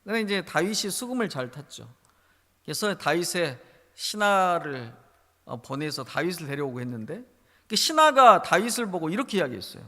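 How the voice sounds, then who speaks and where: A Korean pastor with a Jeolla accent preaches an emphatic, storytelling sermon. His high voice swings up and down. A long church reverb trails behind him. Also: a bit bright. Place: church sanctuary.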